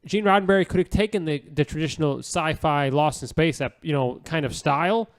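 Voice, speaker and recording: A man's voice: a little high, fairly quick. A man co-hosting a podcast, chatting casually and enthusiastically in a rambling, gushing way that repeats phrases. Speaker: podcast co-host.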